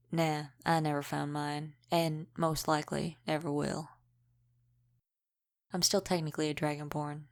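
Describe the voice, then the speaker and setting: A woman playing a centuries-old, world-weary female vampire in an audio roleplay, gruffly protective, speaking in an unhurried, wry, folksy way with dropped g's. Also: low voice. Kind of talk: intimate audio roleplay acting.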